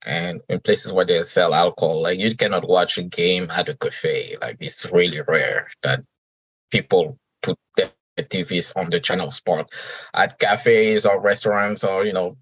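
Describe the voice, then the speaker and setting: Deep-voiced man from Senegal, unhurried. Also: breathy edge, dry recording, highs cut off. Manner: unhurried, with pauses and restarts. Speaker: podcast host and guest. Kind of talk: conversational interview answers.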